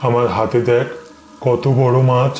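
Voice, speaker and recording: Man acting flat, eerie possessed uncle. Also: mid-range voice, measured pace, close to an everyday voice. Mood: eerie calm. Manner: flat, curt, dismissive. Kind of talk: cartoon horror story voice acting. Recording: echoey, faint noise behind.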